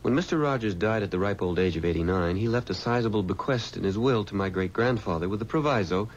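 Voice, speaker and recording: Male actor as genial family man, low pitch, measured, articulate, radio play, dull hissy sound. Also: close to an everyday voice. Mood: composed, curious. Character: genial husband reading out an old family letter. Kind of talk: 1970s radio drama acting.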